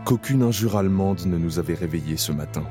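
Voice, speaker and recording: Male narrator, very deep, grave and measured, over a music bed. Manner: slow, solemn, measured. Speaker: narrator playing Isaac, camp prisoner. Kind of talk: audio drama narration. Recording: clear music bed under voice.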